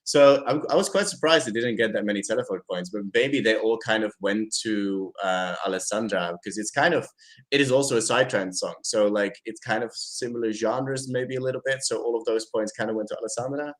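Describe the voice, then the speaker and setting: A man's voice: lowish voice, fairly quick. A man hosting a casual chat, rambling and hedging with lots of "like" and "I don't know". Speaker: host.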